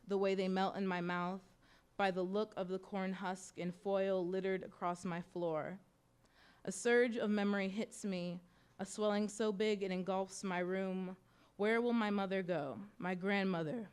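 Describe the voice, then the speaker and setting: A young American woman reading her own personal essay aloud at a bookstore event, in a measured, reflective way. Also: mid-range voice, clean tone. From United States. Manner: measured, reflective, softly expressive delivery. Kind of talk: literary reading of personal prose. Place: bookstore event in front of an audience.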